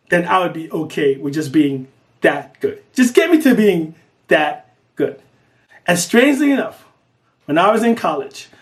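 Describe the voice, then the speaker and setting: A middle-aged man from Guyana, telling his own story in an earnest audition video. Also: sits high. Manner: earnest, lively, swings between quick bursts and slow emphasis. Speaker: artist making an audition video. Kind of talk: personal storytelling to camera.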